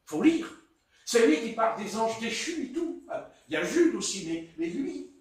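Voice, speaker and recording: A man with a Marseille accent preaches in a lively, high voice, his pitch leaping around as he tells stories playfully. The sound is very echoey, as if caught from far across a church hall. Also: normal speed. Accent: Marseille French. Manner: animated, playful storytelling with chuckles. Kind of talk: sermon.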